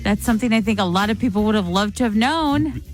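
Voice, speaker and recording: A woman co-hosting a morning radio show, reacting with animated surprise in casual banter. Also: mid-range voice, faint music behind. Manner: animated, reactive, quick to gasp and laugh. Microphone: studio microphone.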